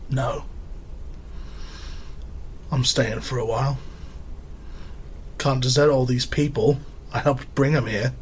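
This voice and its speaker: A man's voice: mid-range voice, steady tone, takes it slowly, pauses often, slight background noise, lightly acted. A man playing a decent, anguished working-class family man in an audio drama, earnest, with a British accent. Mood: remorseful.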